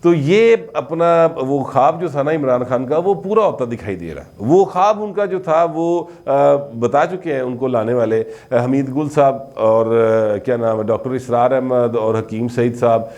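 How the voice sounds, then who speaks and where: Male political commentator, mid-pitched, Pakistani Punjabi accent, chatty and informal delivery. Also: a little slow, hardly any pauses, a little mellow. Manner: conversational, slipping between Urdu and Punjabi.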